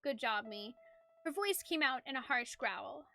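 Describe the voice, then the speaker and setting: A woman's voice: high-pitched, animated, measured pace, sharp tone. A woman streaming a game, chatting playfully and reading the story aloud with expression. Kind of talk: live stream chat mixed with expressive story narration.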